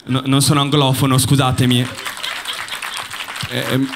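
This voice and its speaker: Young man doing deadpan stand-up. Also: medium pitch, crowd noise, background noise. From Bologna, Italy. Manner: deadpan, ironic delivery with pauses for laughs. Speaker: stand-up comedian. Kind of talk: stand-up comedy set. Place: theater stage before a live audience. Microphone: handheld mic.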